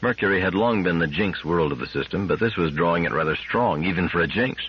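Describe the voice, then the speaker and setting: A man's voice: low-pitched, quick pace, breathy edge, dull audio. A man reading fiction aloud as a dramatic audiobook narrator.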